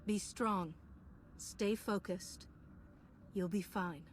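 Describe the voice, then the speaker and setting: Calm earnest jedi heroine from a game, medium pitch, slight background noise. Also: female voice, very slow. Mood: reassuring. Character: steady, resolute young female Jedi heroine. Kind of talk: video game cutscene acting.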